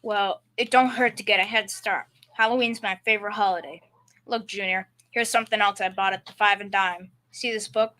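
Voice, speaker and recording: A girl playing a blustering, bright-voiced bumbling dad. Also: medium pitch, steady tone, brisk, natural voice. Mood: sly, pleased. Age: young teen. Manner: colloquial, folksy bad grammar. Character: bumbling, blustering middle-aged working-class dad, confidently clueless. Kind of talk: school performance of old-time radio comedy.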